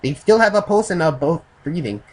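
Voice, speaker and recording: A man voicing a polite, dry Japanese man in a story, attempting a slight Japanese accent with careful, halting speech. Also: on the high side, animated, voice pushed up, natural voice. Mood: exasperated. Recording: clearly noisy home recording.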